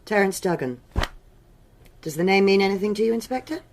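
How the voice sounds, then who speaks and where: An actress playing a stern, no-nonsense police superintendent in a radio drama, clipped and authoritative. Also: female voice, mid-range voice, animated, brisk, slight background noise, lightly acted. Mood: brisk, official. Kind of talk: BBC radio drama acting.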